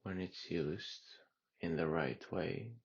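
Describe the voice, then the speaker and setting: Slow, gravelly low-voiced man guiding meditation. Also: dull audio. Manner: calm, unhurried, with long pauses. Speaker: meditation guide. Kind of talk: guided meditation.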